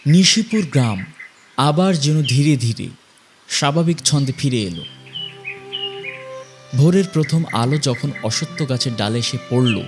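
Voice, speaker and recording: Suspenseful male ghost-story narrator over music. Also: medium pitch. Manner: suspenseful, measured phrasing with pauses.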